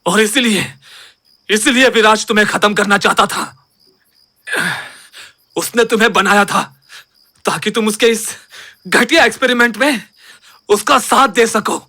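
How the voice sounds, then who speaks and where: A man's voice: high-pitched, unhurried, long pauses, pushed and bright, dry recording, studio quality, exaggerated voice. A man playing a defiant young hero in a horror audio drama, speaking with intense, accusing drama.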